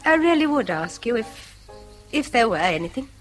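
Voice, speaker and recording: An actress with an English accent playing a polite, restrained schoolmaster's wife in a British radio drama, speaking hesitantly. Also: female voice, fairly high pitch, very animated, normal speed, soft background music. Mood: hesitant, guarded.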